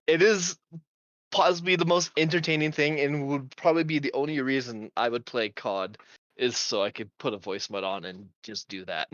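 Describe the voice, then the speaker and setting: A woman's voice: extremely low pitch, a little slow, dry recording. A woman chatting casually on a stream, giggling often and restarting her sentences, on a dull lo-fi mic. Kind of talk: casual chat.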